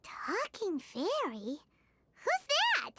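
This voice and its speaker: A woman voicing a tiny childlike talking fairy companion in a video game, squeaky, perky and nosy. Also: huge pitch swings, very slow, background noise, exaggerated voice. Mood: excited, curious. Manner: speaks of self in third person, exclaiming. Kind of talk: English video game voice acting.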